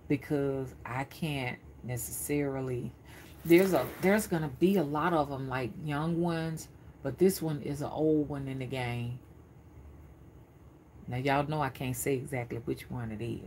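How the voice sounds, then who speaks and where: A woman's voice: a bass voice, darkish tone, slight background noise. A woman in her fifties talking casually on a live stream, rambling and often repeating her words as she goes. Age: 50s. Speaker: spiritual reader streaming live.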